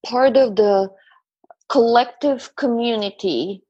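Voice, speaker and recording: A woman's voice: fairly high pitch, slow, warm tone, slightly rough, no echo, highs cut off. A woman from Bulgaria with a Bulgarian accent, a guest in a casual interview, talking chattily with frequent fillers and laughs. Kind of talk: conversational interview.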